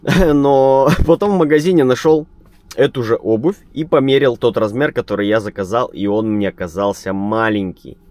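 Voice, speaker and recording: A man who drives a truck, chatting to his viewers in a relaxed, rambling vlog style. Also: medium pitch, moderate pace, no echo. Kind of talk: casual vlog chat. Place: truck cab and roadside.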